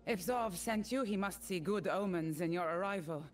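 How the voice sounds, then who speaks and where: A woman's voice: on the low side, moderate pace, close to an everyday voice. An actress playing a cold, proud, commanding female mercenary leader in a video game, stern and imperious. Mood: grim, dismissive. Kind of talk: video game character dialogue.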